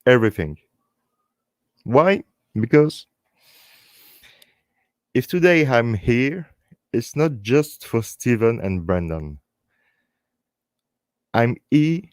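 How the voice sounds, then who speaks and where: A man with a French accent and French as his first language, hosting a live stream in halting English, speaking earnestly and unscripted in short phrases with repeated words. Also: medium pitch, animated, darkish tone, dry recording, clean studio sound. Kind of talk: earnest unscripted talk to online viewers.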